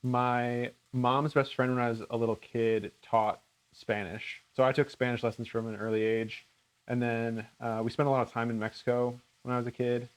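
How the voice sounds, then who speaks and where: A man with an American accent talks in a casual, conversational way as a cooking channel host. His pitch is middling. He comes across as earnest and a little self-deprecating. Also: little pitch movement, normal speed, rough recording. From rural Minnesota, United States. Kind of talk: casual chat answering viewer questions.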